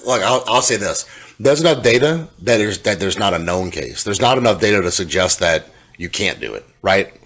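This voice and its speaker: A man co-hosting a casual podcast chat, with a soothing voice and a halting way of talking that repeats words. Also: lowish voice, big pitch swings, a bit bright. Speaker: podcast co-host.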